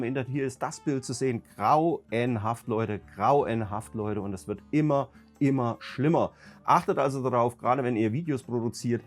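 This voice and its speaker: A man with a cold, hoarse and raspy, ranting emphatically as a YouTube host talking casually to his viewers. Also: medium pitch. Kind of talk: casual vlog talk to viewers.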